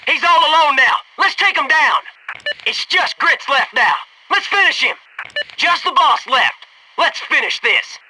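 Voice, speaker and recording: A man's voice: very high voice, expressive, measured pace, bright, forceful tone, slight background noise, heavily acted, low-quality recording. An American man voicing a wisecracking, gung-ho special forces soldier in a video game, with a sarcastic, informal delivery. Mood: urgent, shouting. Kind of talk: video game combat barks and quips.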